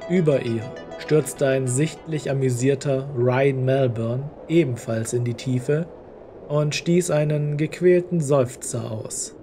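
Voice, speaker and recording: A man's voice: mid-range voice, steady tone, slow, faint music behind. A man reading scripted story narration as an audiobook narrator.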